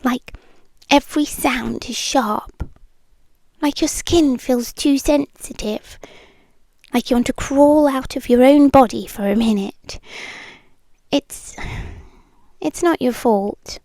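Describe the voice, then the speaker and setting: A British woman playing a possessive, doting girlfriend in an intimate ASMR roleplay, speaking softly and breathily, often in whispers, close to the microphone. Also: high-pitched, no room sound, exaggerated voice. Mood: soothing, protective. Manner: slow, lingering, coaxing delivery with pauses. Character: possessive, doting yandere girlfriend, soothing and clingy. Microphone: close microphone, dry.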